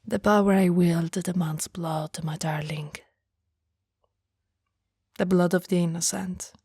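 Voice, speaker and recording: An immortal vampire woman acted out by a female performer confides in slow, breathy whispers, tender and full of sorrow. Her voice sits fairly low, with archaic, formal phrasing. The sound is close and dry, in the manner of ASMR roleplay. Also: steady tone, a little mellow, no echo, heavily acted. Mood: anguished.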